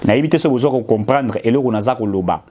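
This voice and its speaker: A man's voice: mid-range voice, quick pace, dark tone. A middle-aged man from DR Congo with a Congolese French accent, speaking as a political commentator straight to his audience, emphatic and insistent. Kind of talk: impassioned direct-to-camera address.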